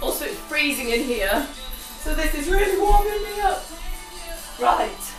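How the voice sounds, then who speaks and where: A young woman vlogging while she dances, her voice croaky and her talk bubbly and exclamatory, slightly out of breath from moving. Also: high-pitched, expressive, unhurried. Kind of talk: chatty vlog while dancing. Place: cold glass conservatory at home. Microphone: far camera. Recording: echoey room from far off, music behind.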